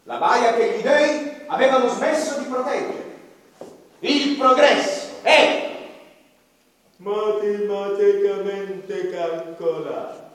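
Man, fairly high voice, declaiming a bitter monologue for radio theatre; picked up from a distance in a very roomy space with violin behind. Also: slow. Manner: declamatory, bitter and mournful, with sharp swings in loudness. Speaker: narrator of a radio play. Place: theatre stage. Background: violin music.

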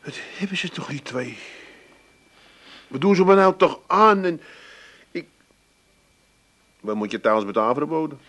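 An actor playing a flustered, plaintive grown son with an Amsterdam accent in a radio comedy. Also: male voice, fairly high pitch, animated, normal speed, pauses often, a bit bright. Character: hapless, whiny grown son, easily upset.

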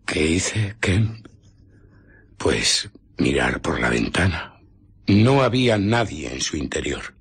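A deep, very raspy voice of an embittered scientist in hiding. He tells his furtive story deliberately, with dramatic pauses and lapses into breathy whispering, in the manner of radio theatre. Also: very animated, fairly bright tone, exaggerated voice. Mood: secretive, tense. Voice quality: husky, breathy voice slipping into whispers. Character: Griffin, embittered invisible man scientist recounting his furtive exploits. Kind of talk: radio drama narration and dialogue.

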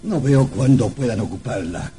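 A man's voice: medium pitch, animated, normal speed, dark tone, natural voice. An actor playing a sly, genial old man with an avuncular, hesitant manner in an old radio drama. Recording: faintly noisy old radio recording.

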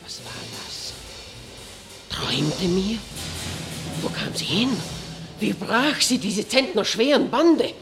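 A woman's voice: medium pitch, big pitch swings, takes it slowly, gravelly, pauses often, heavily acted. An actress playing a proud, scornful queen who speaks in haughty, commanding verse in a classic radio play. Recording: studio with music underneath.

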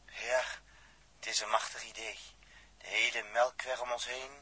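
An actor playing a pensive, stoic astronaut in a 1960s radio drama, reflective and measured with theatrical delivery. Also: male voice, mid-range voice, little pitch movement, bright, forceful tone, slightly rough, no echo, exaggerated voice, poor audio.

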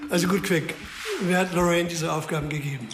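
A man plays an ageing police detective in a radio thriller. The voice is high for a man and creaky, hesitant yet insistent, in a roomy space with music underneath. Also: steady tone, brisk, natural voice. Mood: probing. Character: Brenner, ageing male police detective, dogged and urgent. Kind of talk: German radio crime drama acting. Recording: roomy sound with music behind.

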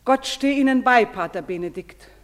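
A woman's voice: on the high side, expressive, moderate pace, lightly acted. An actress playing a proud, betrayed wife and mother, stern and accusing in declamatory stage style. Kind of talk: theatrical stage-play acting. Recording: long reverberant hall sound.

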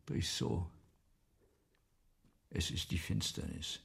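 A husky, anguished theatrical playwright. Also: male voice, sits low, heavily acted. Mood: resigned, grave. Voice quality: husky, hoarse, gravelly. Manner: theatrical, halting, swinging between hushed and declaiming. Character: anguished, theatrical male French playwright, weary and fearful. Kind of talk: 1970s German radio play acting.

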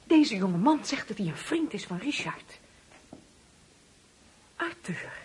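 A woman's voice: mid-range voice, very animated, slow, a little mellow, slightly rough, exaggerated voice. An actress playing an eager, coaxing middle-aged wife and mother in a radio drama. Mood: anxious. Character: eager, pleading wife and mother of an absent son.

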